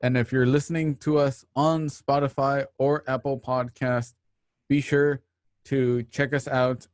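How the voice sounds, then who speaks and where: A man's voice: medium pitch, no room sound. Male radio host, dry close sound. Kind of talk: casual show sign-off and announcements.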